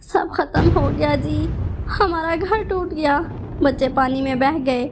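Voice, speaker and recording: Woman acting a very high-pitched, pleading mother bird. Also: takes it slowly, background noise. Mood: grieving. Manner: plaintive, pleading.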